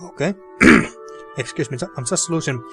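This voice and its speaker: A man's voice: a little high, moderate pace, soft background music. Man with a dry, fading voice. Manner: relaxed, thinking aloud, mildly sweary. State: dry throat, losing voice. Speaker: gaming video host. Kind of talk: casual gameplay commentary.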